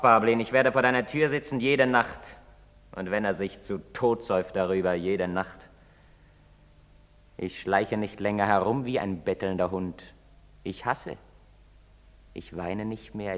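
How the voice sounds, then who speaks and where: An actor playing a bitter, defiant young outcast son in a German stage drama, speaking with theatrical intensity and scorn. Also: male voice, lowish voice, a bit bright, close to an everyday voice. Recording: very reverberant hall or stage.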